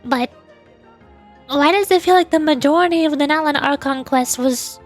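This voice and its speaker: A woman streamer giving casual commentary on a game in a halting, wry, musing way. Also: high-pitched. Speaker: VTuber streamer. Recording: game music faintly behind.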